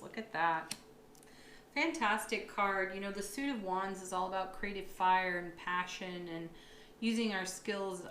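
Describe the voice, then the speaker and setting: A woman reads tarot cards aloud in an upbeat, affirming manner, pausing for reflective asides. Her voice sounds a little froggy and worn. She is recorded in an echoey room with a faint hum of ambience behind her. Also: mid-range voice, a bit bright. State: slightly froggy, tired voice. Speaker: tarot reader. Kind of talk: monthly astrology tarot reading.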